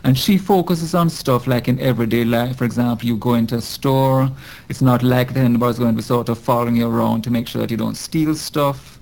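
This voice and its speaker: A man from Jamaica with a Jamaican accent, a guest professor explaining ideas in a measured, thoughtful way with frequent pauses and ums. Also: mid-range voice, vocal fry, darkish tone. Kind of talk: thoughtful academic discussion.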